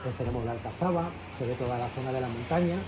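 A man's voice: mid-range voice, fast talker, noisy background. A man in his forties with an accent from Spain, casually narrating a tour of his home as a vlogger. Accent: Spanish from Spain. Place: indoor apartment rooms and balcony. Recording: echoey rooms, music underneath. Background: background music.

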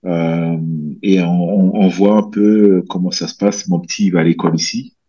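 A man in his thirties from French Guiana talks through a headset on a video call, his voice low and slightly husky. He rambles in a relaxed way, with many fillers and restarts. The call audio sounds a little dull. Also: normal speed. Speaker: guest, bodybuilder and coach. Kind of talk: casual interview chat. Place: small room at home, indoors.

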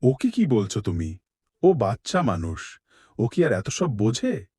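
A man playing a gentle, indulgent poor village father in a cartoon, soothing and protective. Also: mid-range voice, sing-song, unhurried, husky, no room sound, clean studio sound. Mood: mildly protesting. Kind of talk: cartoon voice acting.

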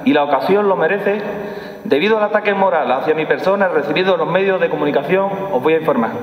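Emphatic higher-pitched Andalusian man in echoing hall. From Andalusia, Spain. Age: 40s. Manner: emphatic, repeats key phrases. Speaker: police chief giving an inaugural speech. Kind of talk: formal prepared speech. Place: large stone hall, indoors. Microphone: lectern microphone. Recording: echoing hall, long reverb, slightly dull sound.

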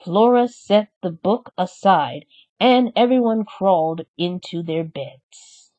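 A woman reading a children's story aloud as scripted narration, in a deliberate manner. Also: on the low side, expressive, warm tone. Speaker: storybook reader. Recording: slightly dull, lo-fi sound.

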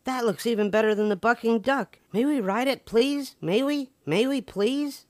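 Whiny high-pitched bear cub. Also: male voice, moderate pace, no room sound. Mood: excited, begging. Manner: whining, pleading. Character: whiny, excitable young bear cubs begging for treats.

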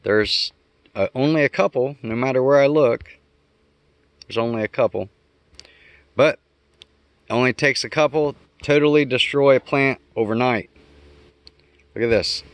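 A man's voice: medium pitch, a little slow, long pauses, no room sound. A man giving a casual, unscripted explanation outdoors, thinking aloud and correcting himself as he goes. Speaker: homestead vlogger. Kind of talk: casual unscripted explainer while walking around the yard. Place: outdoors in a garden yard.

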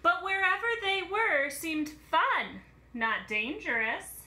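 Bright high-pitched woman reading to kids. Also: slow, slight background noise. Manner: expressive, exaggerated storytelling delivery. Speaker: children's center teacher reading a picture book. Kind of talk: animated read-aloud for young children. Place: small room at home. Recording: roomy home phone recording.

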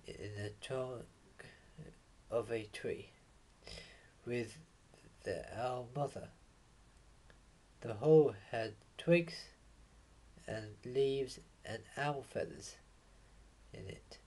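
Young male storyteller for children, unhurried and a bit halting, lively intonation, home webcam feel. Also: mid-range voice. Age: young adult. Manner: halting, stumbles over words. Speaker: bedtime story reader. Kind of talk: reading a children's picture book aloud. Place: small room at home, indoors. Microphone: webcam or laptop mic at desk distance.

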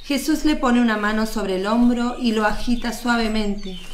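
A woman's voice: on the high side, moderate pace. A woman reading scripted narration as the narrator of a religious radio drama. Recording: roomy echo, noise, birdsong behind.

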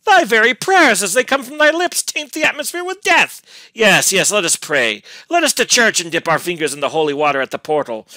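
A man's voice: sits high, big pitch swings, fairly quick, few pauses, pushed and bright, no room sound, voice pushed up, exaggerated voice. A man voicing an enraged, scornful young lover in a gothic storybook, with archaic, declamatory, venomous speech. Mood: scornful fury. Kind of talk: dramatic gothic storybook reading.

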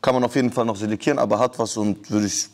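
A man on a jury giving relaxed, frank feedback on a rap performance. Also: lowish voice, rapid-fire. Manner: relaxed, frank critique with slang. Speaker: jury member. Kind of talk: casual feedback chat.

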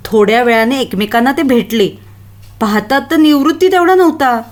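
A woman narrating a children's moral story in an expressive storytelling style. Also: a little high, moderate pace.

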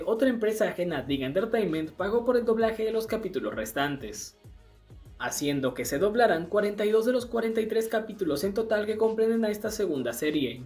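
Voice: medium pitch, a little mellow. A narrator with a Latin American Spanish accent, reading a scripted video essay. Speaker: narrator of an anime dubbing history video. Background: background music.

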